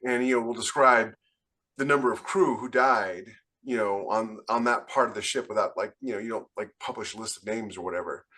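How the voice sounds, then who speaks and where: A man's voice: lowish voice, brisk, pushed and bright. Man casually explaining on a podcast. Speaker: podcast co-host and author. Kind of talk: casual panel discussion.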